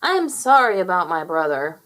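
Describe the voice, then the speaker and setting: Brief: gentle young rooster prince, calm and earnest; woman narrator voicing him, storybook. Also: fairly low pitch, normal speed.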